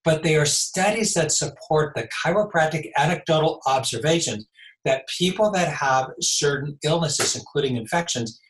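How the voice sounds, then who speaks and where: Raspy older man in an echoey room. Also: on the high side, fairly bright tone. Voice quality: a little raspy. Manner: measured, explanatory. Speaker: chiropractor guest. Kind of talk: interview answers.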